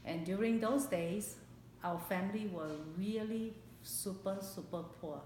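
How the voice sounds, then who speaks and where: A woman in her late fifties with a Singaporean accent recalls her life in a personal interview, speaking haltingly and repeating phrases for emphasis. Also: mid-range voice, a little mellow. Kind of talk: personal oral history interview. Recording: echoey room, background hiss.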